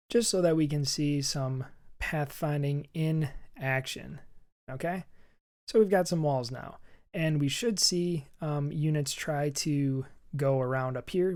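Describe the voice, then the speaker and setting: A man with a hoarse, scratchy voice, casually walking through a programming tutorial as he works and thinks aloud. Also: fairly high pitch, darkish tone. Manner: chatty and upbeat, thinking aloud while typing. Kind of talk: screen-recorded coding walkthrough. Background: keyboard typing.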